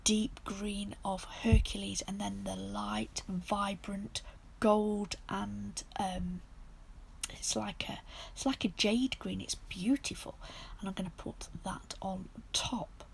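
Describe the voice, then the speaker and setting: A woman with a croaky, sore-throated voice, softly narrating a nail art tutorial as the channel's host. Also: medium pitch, fairly even intonation, slow, slight background noise, poor audio. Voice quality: husky, gravelly voice. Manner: soft, half-whispered step-by-step narration.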